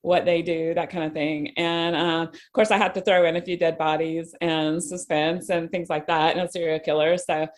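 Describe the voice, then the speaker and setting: A woman with a voice a little lower than most talks as a guest author. She is informal and a bit self-deprecating, drifting into asides as she chats. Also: flat delivery, moderate pace, few pauses. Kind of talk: casual interview chat.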